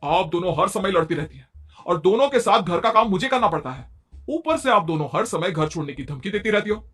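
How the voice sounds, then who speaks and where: A man's voice: high voice, expressive, fairly quick, a bit bright, husky, heavily acted. A man voicing a harried, pleading young husband and son in a Hindi moral-story cartoon. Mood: fed up. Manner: pleading, complaining.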